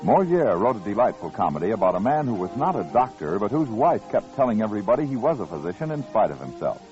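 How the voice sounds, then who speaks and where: A man's voice: fairly low pitch, big pitch swings, fast talker, darkish tone. An American man reading scripted credits and programme notices as a 1950s radio announcer. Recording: muffled old radio broadcast with music.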